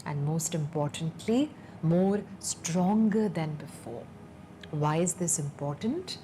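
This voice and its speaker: A woman leading a guided meditation in a calm, soothing, measured way, leaving long pauses between phrases. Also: on the low side. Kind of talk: slow guided meditation narration. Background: background music.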